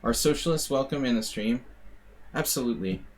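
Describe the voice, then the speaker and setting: A man's voice: mid-range voice, expressive, fairly quick. An American man giving casual, rambling live commentary on his chess games, with wry asides and plenty of fillers. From United States. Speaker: chess streamer.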